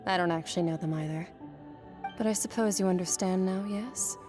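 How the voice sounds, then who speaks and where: Casting: actress for detached warrior master in a game, calm, proud, slightly low female voice, formal and blunt. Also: brisk, music in the background, lightly acted. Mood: detached, musing. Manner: detached, matter-of-fact, formal. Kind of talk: video game voice acting.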